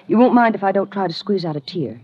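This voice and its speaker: Husky, arch haughty rich wife. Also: female voice, mid-range voice, lively intonation, fast talker, no echo. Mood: cool, sardonic. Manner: arch, languid, sardonic. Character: cool, haughty, flirtatious wealthy wife in her twenties. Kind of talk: 1950s radio noir acting. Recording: muffled old 1950 radio recording.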